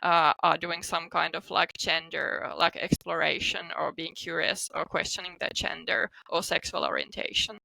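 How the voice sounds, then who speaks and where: Woman, Finnish accent, creaky voice, bright tone, casual interview guest, informal phrasing. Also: on the low side, steady tone, moderate pace, few pauses, no room sound, poor audio. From Finland. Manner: informal, peppered with "like" as a hedge. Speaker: guest, psychotherapist and coach.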